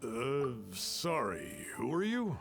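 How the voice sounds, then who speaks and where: Animated, guarded townsman. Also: mid-range voice, slow, exaggerated voice. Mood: wary. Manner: indignant, guarded. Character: wary, affronted male townsman, healthy and curt. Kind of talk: video game voice acting. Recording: music clearly under the voice.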